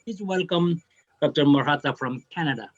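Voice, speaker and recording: A man's voice: on the high side, normal speed. A man moderating a conference session, politely handing the floor to the next speaker over a video call. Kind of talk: introducing a speaker. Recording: dull lo-fi call audio.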